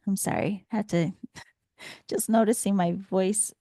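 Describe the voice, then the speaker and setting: A woman hosting a spiritual podcast as a reflective, instructive monologue, her voice slightly scratchy and hoarse from much talking. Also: mid-range voice, sing-song, slow, mellow, dry recording, studio quality. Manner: reflective, instructive, posing rhetorical questions. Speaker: podcast host and spiritual teacher.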